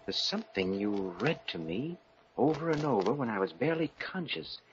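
An actor playing an anguished, newly married professor in halting, theatrical old radio thriller style, on a noisy vintage recording. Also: male voice, mid-range voice, very animated, muffled recording, lightly acted. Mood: dazed, brooding. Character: anguished new bridegroom, professor haunted by dark urges. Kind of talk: 1940s radio thriller acting.

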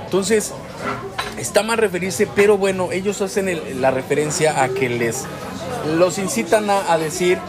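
A man's voice: high voice, expressive, normal speed. A man with a Mexican accent in opinionated, casual discussion, speaking in an earnest, emphatic way and often correcting himself. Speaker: co-host of a discussion show. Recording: music and crowd noise behind, indoor public space. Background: music and chatter.

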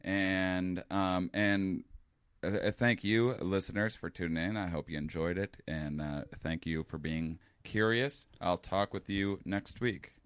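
A podcast host, a man with a deep, low voice that carries well. He talks loosely and casually, full of "um"s and restarts. The sound is dry and close to the mic. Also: a little slow, smooth, no echo. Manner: relaxed, rambling, many fillers and restarts. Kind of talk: casual conversational interview.

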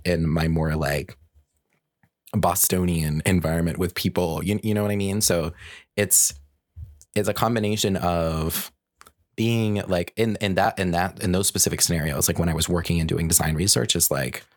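A man's voice: deep voice, no room sound, clean studio sound. A man talking casually as a podcast host, reflective and working his way through looping asides. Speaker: podcast host and design researcher. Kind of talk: casual conversational chat.

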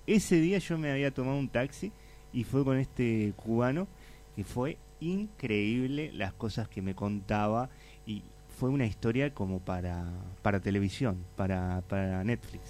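A Uruguayan man hosting a studio chat, telling animated anecdotes. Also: medium pitch, moderate pace, slight background noise. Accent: Uruguayan Spanish. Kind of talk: casual chat.

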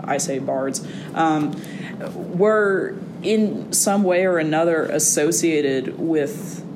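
A young woman, a college student, talking casually as an interview guest in a wry, animated way, with fillers and restarts. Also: takes it slowly. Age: young adult. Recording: audible background noise with a low rumble.

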